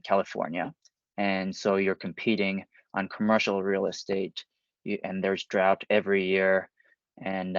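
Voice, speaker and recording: A man from Japan with a Japanese accent, introducing himself and explaining his field in a measured way as a company co-founder on a dull online call. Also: fairly low pitch, gravelly, no room sound. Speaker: company co-founder, guest speaker. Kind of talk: spoken self-introduction to an online audience.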